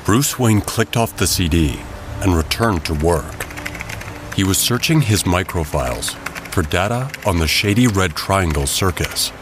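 Deep-voiced male dramatic narrator. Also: lively intonation, a little slow, background noise. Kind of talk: scripted dramatic story narration. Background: music.

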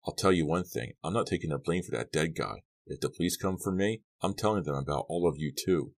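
A man voicing a hot-headed, panicky teenage boy in an audiobook, protesting loudly and heatedly. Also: low-pitched, brisk, creaky. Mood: heated, defiant.

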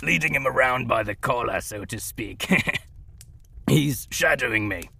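A man playing a nervous, obsequious werewolf henchman with a raspy voice in a fantasy comedy audio drama. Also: medium pitch, animated, a little slow, bright, forceful tone, exaggerated voice. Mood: nervous placating. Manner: obsequious, placating. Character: fawning male werewolf henchman, nervous and smooth-talking.

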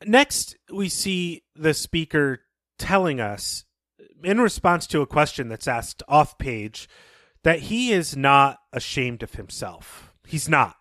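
A man co-hosting a podcast, talking casually and explaining things in a measured, thoughtful way. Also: on the high side, animated, fairly bright tone, no echo, studio quality. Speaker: podcast co-host. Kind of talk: casual podcast talk and story recap.

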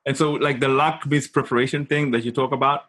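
A man's voice: medium pitch, steady tone, brisk, fairly bright tone. Informal, emphatic man telling stories. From Zimbabwe. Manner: informal, emphatic, often checks in with "right?". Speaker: interview guest, consultant and coach. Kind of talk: animated personal storytelling in casual conversation.

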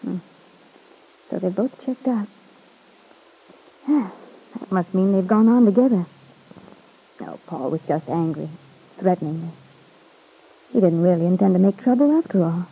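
An actress playing a scheming, anxious young wife in an old radio serial. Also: female voice, on the low side, animated, a little slow, creaky, pauses often, mellow, husky, heavily acted, low-quality recording. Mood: relieved. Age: young adult.

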